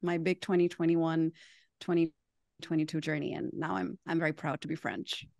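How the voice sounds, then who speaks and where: A woman from Pakistan chats as a podcast guest, explaining with good humor. Her voice sits a touch low and is recorded dry and close. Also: little pitch movement, normal speed, creaky, a little mellow, dry recording. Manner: amused, laughing often while explaining. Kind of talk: casual interview chat.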